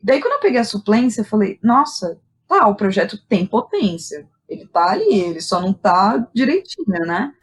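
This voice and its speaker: Give a Brazilian woman with a medium-pitched voice, speaking as an interview guest. She tells personal stories candidly and informally, leaning on slang and checking in with little tag questions. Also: sing-song, normal speed, few pauses. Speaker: guest, playwright and director. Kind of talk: casual personal storytelling in a podcast interview.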